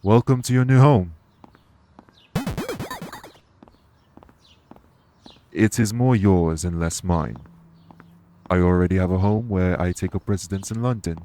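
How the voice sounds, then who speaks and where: Audio roleplay lead: male actor as dignified ex-king and fae minister, low register, formal, reassuring delivery. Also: warm tone, slight background noise. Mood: welcoming, reassuring. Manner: formal, measured, reassuring phrasing. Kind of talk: audio roleplay spoken to the listener.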